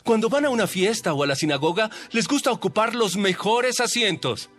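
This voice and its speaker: An authoritative religious teacher, acted by a man, instructs his followers in an audio Bible drama. The male voice sits slightly high and is emphatic and didactic, over faint music. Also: animated, brisk, a bit bright. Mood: warning.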